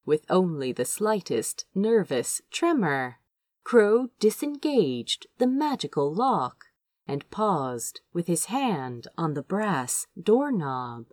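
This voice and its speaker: Woman narrating expressively, slow and animated. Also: lowish voice, dry recording, clean studio sound. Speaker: audiobook reader of fan fiction. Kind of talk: expressive scripted story narration.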